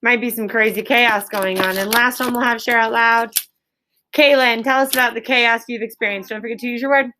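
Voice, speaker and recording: An American woman teaching an elementary class, giving clear instructions and spelling things out for the children. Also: a little high, steady tone, pushed and bright. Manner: clear instructing, exaggerated drawn-out modeling. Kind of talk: interactive classroom lesson. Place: school classroom with pupils.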